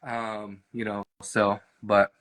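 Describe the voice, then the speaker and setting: Bright-voiced American man, rambling casually. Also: lowish voice, flat delivery, slow. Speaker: real estate team leader, co-host. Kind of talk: casual live stream chat.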